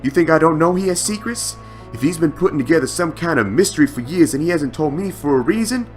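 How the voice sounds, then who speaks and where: A man playing a brash, cocky but easily rattled young space superhero in an audio drama, speaking urgently and loudly. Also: on the high side, expressive, pitched above the performer's own voice, natural voice. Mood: agitated. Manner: urgent, loud, quick, exclaiming. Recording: background music under the voice.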